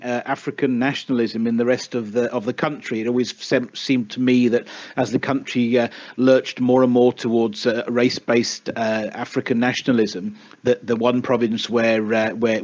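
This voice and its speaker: A middle-aged man from the UK with a British accent, answering questions over voice chat with many restarts and fillers. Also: mid-range voice. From United Kingdom. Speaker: spokesman of an advocacy group. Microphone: voice chat mic. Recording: dull lo-fi voice chat.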